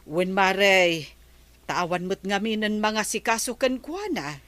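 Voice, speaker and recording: A woman's voice: medium pitch, measured pace, a bit bright, no echo, close to an everyday voice. A woman as the captain's tired wife. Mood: weary. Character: captain's weary, good-natured wife. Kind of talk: radio comedy drama acting.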